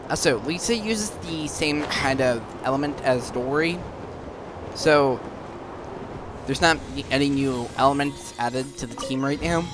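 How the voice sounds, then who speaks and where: A man hosts a casual game playthrough. His voice is mid-pitched, and he talks in a halting, think-aloud way. Game music runs clearly behind him. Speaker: gaming video host.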